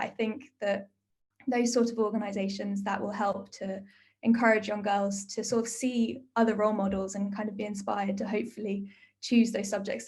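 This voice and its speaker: A woman's voice: mid-range voice, darkish tone. A young woman neuroscientist who gives a prepared talk and then answers a question in an earnest, thoughtful way. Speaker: neuroscientist storyteller.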